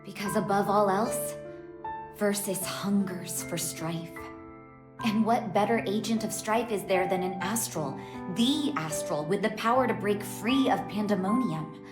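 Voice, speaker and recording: A woman's voice: mid-range voice, unhurried, lightly acted. An actress voicing a young-looking priestess of a dragon god, a long-lost doting mother, in an English game dub. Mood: solemn, explanatory. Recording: echoing voice under prominent music. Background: guitar and instrumental music.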